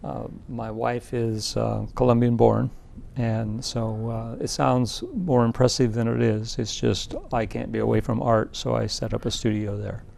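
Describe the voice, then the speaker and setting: An older man from Indiana, an artist guest in a small TV studio, talking through a lapel mic in a relaxed, thoughtful way with many fillers. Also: mid-range voice, a little slow, vocal fry, hardly any pauses, slight background noise. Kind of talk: casual interview talk.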